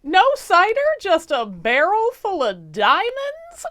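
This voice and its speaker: A woman's voice: very high-pitched, wildly swooping pitch, slow pace, fairly bright tone, pitched above the performer's own voice, full character voice. A woman voicing a spoiled, greedy, vindictive rich duchess in a theatrical, whiny, demanding way for a comic fairy tale. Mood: sly showing off.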